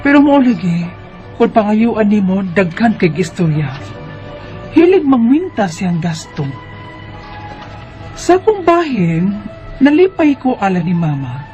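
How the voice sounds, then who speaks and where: A woman's voice: mid-range voice, sing-song, measured pace, long pauses, dark tone, muffled recording, faint music behind. A woman with a Cebuano Filipino accent playing a hurt but caring young daughter in a Bisaya radio drama. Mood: reflective, sad.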